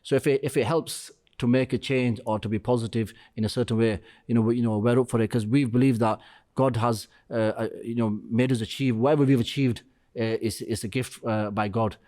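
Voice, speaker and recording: A middle-aged man with a voice of ordinary pitch speaks as a podcast guest. His talk is earnest and halting, full of pauses and "you know". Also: fairly even intonation, dark tone. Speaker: restaurant co-founder, guest. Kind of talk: podcast interview.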